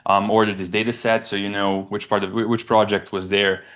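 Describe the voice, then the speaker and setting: A young man from Serbia, giving a conference presentation in a halting way, often restarting and repeating words. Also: on the low side, little pitch movement, a bit bright. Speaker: research presenter.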